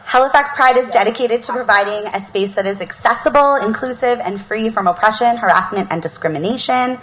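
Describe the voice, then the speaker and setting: A woman hosting an outdoor panel, reading an opening statement in a measured, earnest way, then giving casual announcements. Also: fairly high pitch, fairly bright tone, low-quality recording. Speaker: event host and panel moderator. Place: outdoor public event.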